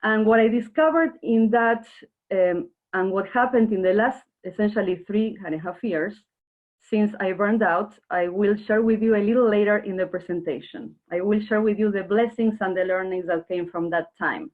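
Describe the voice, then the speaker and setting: A woman's voice: mid-range voice, moderate pace. A woman from Argentina giving an earnest workshop presentation online, speaking directly to her audience. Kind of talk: webinar presentation. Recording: narrow phone-like online call audio.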